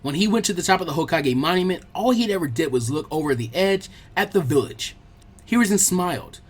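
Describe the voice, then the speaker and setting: A man giving an expressive scripted narration of a fan fiction story as an audiobook. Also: on the high side, fairly quick, husky.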